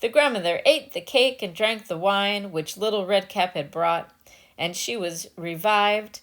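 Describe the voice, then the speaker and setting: A woman's voice: medium pitch, unhurried, a bit bright. A woman storyteller giving a dramatic scripted narration of a fairy tale.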